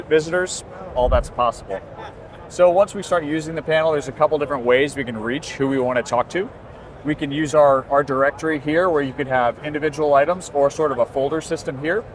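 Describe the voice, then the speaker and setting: A man giving a conversational, explanatory product demonstration as a company presenter at a trade show. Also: a little high. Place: busy indoor trade show booth. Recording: constant trade show floor noise. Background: exhibition hall ambience.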